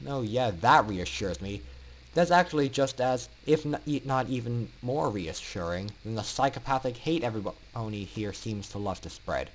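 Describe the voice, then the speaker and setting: A man's voice: medium pitch, animated, normal speed, a little hiss or hum, natural voice, low-quality recording. A man voicing an anxious, skinny young male pony in a story reading, worried and hesitant with a sarcastic edge. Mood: sarcastic, uneasy.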